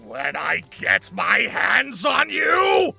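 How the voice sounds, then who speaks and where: A woman voicing an indignant, brutish male centaur villain with a gravelly, strained voice in a story reading. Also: medium pitch, huge pitch swings, drawn-out words, bright, forceful tone, raised voice, faint music behind, heavily acted. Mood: threatening.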